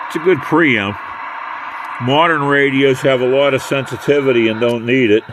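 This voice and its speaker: An older American man giving a casual hands-on equipment demonstration in a halting, unhurried way. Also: mid-range voice, background noise. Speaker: radio hobbyist demonstrating equipment.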